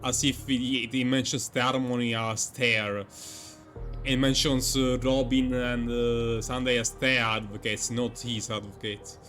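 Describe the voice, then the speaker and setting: A man's voice: medium pitch, little pitch movement, measured pace, a bit bright, soft background music. A man giving casual commentary on a game, reacting and thinking aloud in a hesitant way. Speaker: gaming streamer.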